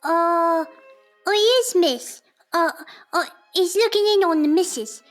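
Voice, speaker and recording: A woman voicing a nervous, polite, squeaky Edwardian street boy who stammers deferentially in an audio drama. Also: unhurried, soft background music.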